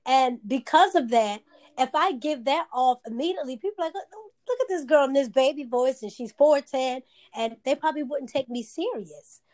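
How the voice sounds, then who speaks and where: A woman with a naturally high-pitched voice, co-hosting a casual chat and talking earnestly with emphasis. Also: animated, normal speed, a little mellow.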